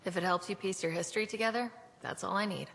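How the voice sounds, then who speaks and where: An actress playing a confident young team leader in a video game, wry and direct. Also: female voice, mid-range voice, fast talker, creaky, fairly bright tone.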